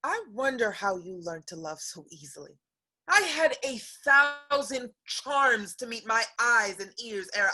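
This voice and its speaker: An actress playing an earnest, romantic young noblewoman in a Restoration comedy, measured and gently reproving. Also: a little high, full character voice, poor audio. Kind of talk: Restoration comedy stage acting.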